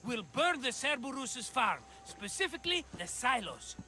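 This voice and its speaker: A man playing an excitable, scheming merchant in a video game, chatty, dramatic and wheedling. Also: extremely high pitch, moderate pace, slight background noise, full character voice. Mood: scheming, excited. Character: excitable scheming cheerful male merchant, always broke. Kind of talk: video game cutscene acting.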